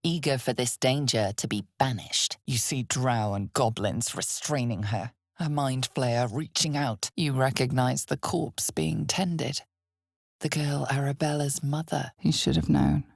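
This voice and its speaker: Husky, ominous fantasy game narrator. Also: female voice, dry recording, clean studio sound, full character voice. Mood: grave, ominous. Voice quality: husky, breathy, low. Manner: measured, deliberate, dramatic, often dropping toward a breathy whisper. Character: ominous, knowing female narrator of a dark fantasy tale. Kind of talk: scripted dark fantasy game narration, second person.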